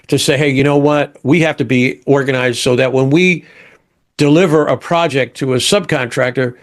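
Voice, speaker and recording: A man answering an interview question in a halting way, often stopping and restarting his phrases. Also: medium pitch, fairly even intonation.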